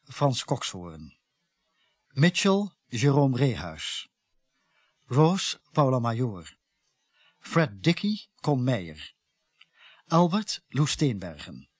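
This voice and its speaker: A man announcing radio drama credits in a formal, deliberate, scripted manner with a husky voice. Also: mid-range voice, no echo, studio quality. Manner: deliberate, list-reading.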